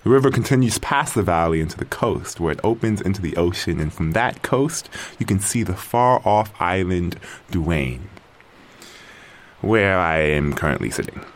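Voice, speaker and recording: A man's voice: deep voice, expressive, moderate pace. A man playing a sardonic, easily irritated young exiled tribesman recording an audio journal in a drama. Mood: wry, resigned. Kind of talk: audio drama acting, first-person journal monologue.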